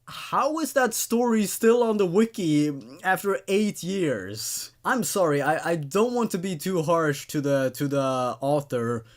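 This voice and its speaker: A man hosting a horror story show, giving expressive, opinionated but apologetic commentary and often stammering and repeating words. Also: fairly high pitch, measured pace, hardly any pauses. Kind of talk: reading and reviewing amateur horror stories.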